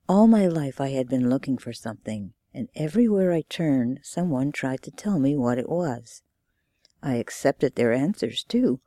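A woman's voice: a bass voice, warm tone, no room sound, clean studio sound. An American woman giving a dramatic reading of literary prose for radio.

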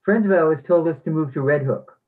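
A man reading as a friendly small business owner in a plain, measured way. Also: a little high, phone-quality sound, lightly acted. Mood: warm. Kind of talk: readers theatre monologue.

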